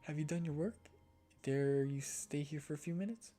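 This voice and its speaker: A streamer voicing a kind, well-bred little schoolgirl with a gentle, reassuring manner in a visual novel. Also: mid-range voice, moderate pace, faint music behind, lightly acted.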